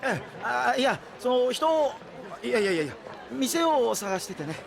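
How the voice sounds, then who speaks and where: A man's voice: very high-pitched, big pitch swings, moderate pace, creaky, full character voice. A man playing a timid, flustered young underling who stammers and apologizes, in an anime audio drama. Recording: faint street crowd behind.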